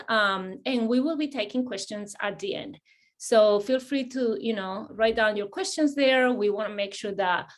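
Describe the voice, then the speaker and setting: A middle-aged woman with a Spanish accent presents an online information session. Her voice sits a little high. She talks in a friendly way, with lots of "uh" and "um" and sentences she starts over. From Spain. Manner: friendly, many fillers and restarts. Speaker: assistant dean hosting an admissions webinar. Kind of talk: webinar presentation.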